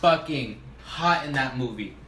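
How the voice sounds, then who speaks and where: A man's voice: mid-range voice, animated, unhurried, sharp tone. A man co-hosting a casual podcast with friends, rambling and swearing freely, a little tipsy from drinking. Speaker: podcast co-host. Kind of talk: casual chat among friends. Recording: echoey room with faint ambient noise.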